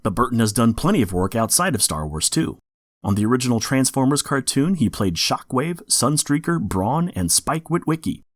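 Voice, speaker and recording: A man's voice: mid-range voice, very animated, normal speed, no room sound, clean studio sound. A man hosting a podcast, reading informative scripted background in a geeky manner. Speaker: podcast host.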